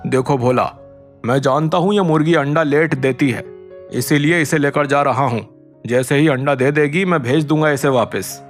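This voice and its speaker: A man's voice: on the high side, normal speed, soft background music, lightly acted. A man voicing a greedy, insistent village merchant in a cartoon, talking in a pushy, familiar way.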